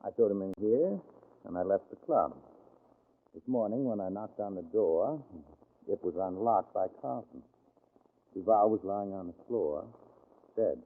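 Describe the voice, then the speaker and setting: Nervous club employee, low voice, swooping pitch, hesitant, formal, evasive, old radio drama recording. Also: male voice, expressive, measured pace, pauses often. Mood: uneasy. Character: nervous, evasive male nightclub employee under questioning. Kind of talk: old-time radio crime drama acting. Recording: muffled, narrow-band vintage radio recording.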